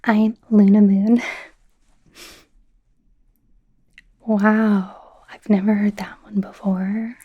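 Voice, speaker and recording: A woman's voice: medium pitch, little pitch movement, takes it slowly, dull audio. Woman playing a whispering, awkward young werewolf doctor-in-training. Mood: awkward, sarcastic. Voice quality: breathy, soft whisper. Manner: chatty, hesitant, gently sarcastic, giggly. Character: young female werewolf doctor student, eager, awkward, wry. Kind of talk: whispered ASMR roleplay.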